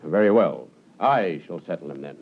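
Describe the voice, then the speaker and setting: Stern, indignant lawyer, deep voice, lively intonation, curt and formal, old radio drama. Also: male voice, moderate pace, vocal fry. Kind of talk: 1950s radio drama acting. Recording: noisy, phone-like 1950s radio broadcast.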